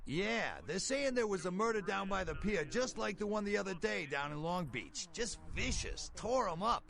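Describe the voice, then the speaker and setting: Sleazy easygoing game bail bondsman, high bright voice, real animated, slangy salesman talk. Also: normal speed, creaky, full character voice. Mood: matter-of-fact. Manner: folksy, slangy salesman patter. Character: easygoing, slick bail bondsman, cheerfully opportunistic. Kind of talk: video game character acting.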